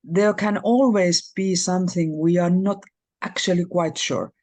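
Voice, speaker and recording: Slow, hesitant Finnish-accented woman. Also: fairly low pitch, fairly even intonation, darkish tone. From Finland. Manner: hesitant, careful, many fillers. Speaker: caller.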